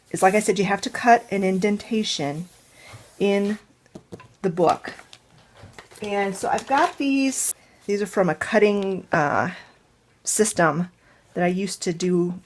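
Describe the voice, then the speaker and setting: A woman's voice: mid-range voice, animated, unhurried, long pauses, darkish tone, slight background noise. A woman hosting a casual home craft tutorial, explaining each step as she thinks aloud, with a congested, stuffed-up nose. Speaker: crafting channel host.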